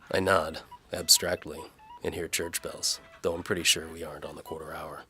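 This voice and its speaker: A man's voice: rumbling low, level tone, moderate pace, sharp tone, breathy edge, soft background music, exaggerated voice. A man playing a sardonic, world-weary bow for hire, narrating his own story in noir audio drama style.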